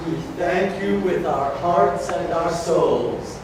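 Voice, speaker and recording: A man playing a gentle, devout celebrant, giving formal, measured blessings in a staged reading. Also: high-pitched. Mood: solemn blessing. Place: community hall stage. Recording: echoey hall, distant mic, clear noise.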